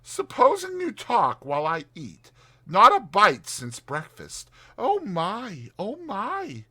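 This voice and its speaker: A man's voice: high voice, wildly swooping pitch, takes it slowly, sharp tone, slightly rough, voice pushed up, full character voice. A man voicing a good-hearted storybook mole with a homely, exclamatory way of talking. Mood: hungry, weary.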